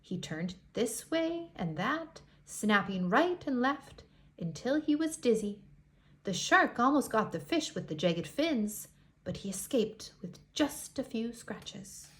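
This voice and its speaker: A woman reading a picture book aloud to young children in an expressive way, with deliberate dramatic emphasis. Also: on the high side.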